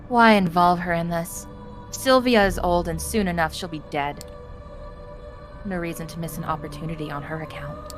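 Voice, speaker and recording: Woman playing a bitter low-voiced sorceress. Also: moderate pace, soft background music, close to an everyday voice. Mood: scornful, cold. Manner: scornful, blunt. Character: bitter, weary, defiant sorceress in a vampire tale. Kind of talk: amateur voice acting of a visual novel.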